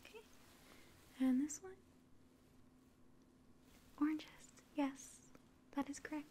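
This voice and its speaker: A young woman performs a gentle ASMR exam roleplay in a breathy whisper. Her delivery is slow and hesitant, with a soft, mellow tone. She is close to the mic, with faint hiss behind her. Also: sits high. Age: young adult. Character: polite, clinical alien examiner. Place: small room indoors.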